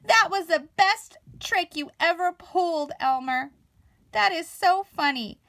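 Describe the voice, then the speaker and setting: An excitable, friendly storybook elephant, acted out by a woman reading aloud. The voice is high and gushing, full of exclamations, and swings about in a lively way. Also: a little slow, full character voice. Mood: delighted.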